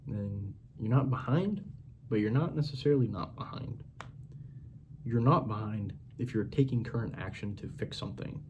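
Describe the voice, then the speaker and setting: A man's voice: on the low side, moderate pace, dull audio, noisy background. A man in his twenties giving a blunt, profane, rambling pep talk as a casual monologue. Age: 20s. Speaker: vlogger.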